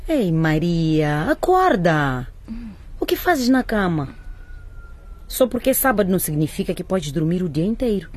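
A woman's voice: lowish voice, sing-song, unhurried, darkish tone, a little hiss or hum. A woman playing a proud, stubborn, hard-working mother in a radio drama, brusque and indignant in her delivery. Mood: scolding, indignant. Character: proud, stubborn, struggling market-vendor mother, scolding and suspicious.

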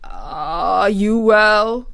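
A woman voicing a miserable, fretful gentleman in a storybook, worried and absent-minded. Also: medium pitch, lively intonation, extremely slow, gravelly, exaggerated voice. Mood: fretful, stern. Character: miserable, fretful, distracted middle-aged gentleman guardian.

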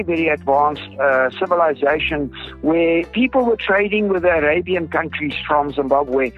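A South African man with an Afrikaans accent speaks in a rather high voice. He explains earnestly, repeating words for emphasis, like a researcher being interviewed. The sound is dull and lo-fi, with music underneath. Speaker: groundwater researcher, interview guest. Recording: dull lo-fi audio with music behind.

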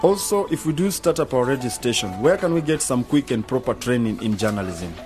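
An actor plays a practical young man in a radio drama. He speaks matter-of-factly at a medium pitch, and music is clearly heard behind him. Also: expressive, fairly quick, close to an everyday voice. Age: young adult.

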